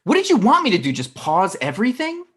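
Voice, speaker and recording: Man playing an irritable guy, very animated pitch. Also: fast talker, breathy edge, full character voice. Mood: argumentative. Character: irritable, paranoid, sarcastic man. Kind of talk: table read acting.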